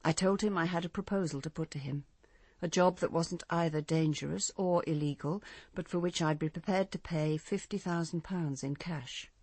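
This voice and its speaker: An actress playing a bitter, grieving grandmother coldly set on revenge in a radio drama, with a measured, confessional delivery. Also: fairly low pitch, darkish tone, breathy edge, dry recording. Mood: coldly resolute. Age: older.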